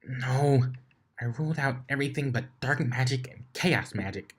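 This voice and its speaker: An indignant young female unicorn librarian from a fan-made story, acted out by a man. The voice is lifted well up in pitch and exclaims in a flustered, put-out manner. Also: moderate pace, husky, close to an everyday voice. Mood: exasperated. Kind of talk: fan fiction reading with character voices.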